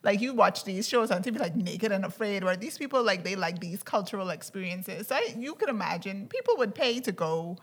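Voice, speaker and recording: A woman's voice: mid-range voice, expressive, fairly quick, few pauses. A woman from the Bahamas with a Bahamian accent, talking informally and conversationally as a panelist in a discussion. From Andros, Bahamas.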